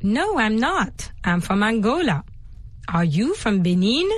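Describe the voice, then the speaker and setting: Female radio language teacher, husky voice with lively intonation, didactic and deliberate, faint music behind. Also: medium pitch, no echo. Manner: didactic, deliberate enunciation of examples. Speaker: language lesson presenter. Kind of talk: scripted bilingual English lesson for radio, with repeat-after-me drills. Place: radio studio.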